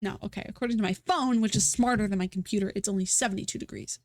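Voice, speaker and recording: A woman's voice: mid-range voice, racing, no echo. Rambling, stuffy-nosed woman streamer. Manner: rambling, self-mocking, many fillers and restarts. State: stuffy nose. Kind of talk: casual live-stream chat.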